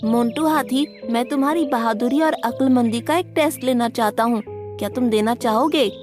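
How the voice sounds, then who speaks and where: A woman's voice: fairly high pitch, normal speed, over music, voice pushed up, lightly acted. A woman voicing a small, challenging young male deer in a Hindi cartoon story.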